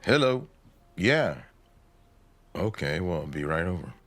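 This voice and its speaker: Very deep-voiced, steady husband. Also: male voice, measured pace, a little hiss or hum, exaggerated voice. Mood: matter-of-fact. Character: steady, practical husband, gently firm, middle-aged. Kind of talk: low-key radio drama acting.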